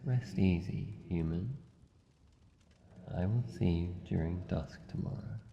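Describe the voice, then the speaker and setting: An Australian man voicing a solitary shadow monster in a fantasy ASMR roleplay, formal, deliberate, wary but gentle. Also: deep voice, gravelly. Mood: gentle, protective. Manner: slow, deliberate, formal phrasing. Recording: dull muffled audio, long reverb, noisy ambience.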